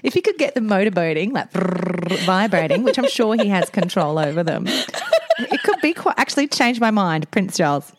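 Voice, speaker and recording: A cheeky woman co-hosting a casual podcast chat. Her pitch sits mid-range but swings a lot, and she laughs often and cracks bawdy jokes. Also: big pitch swings, moderate pace, slight background noise. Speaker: podcast co-host.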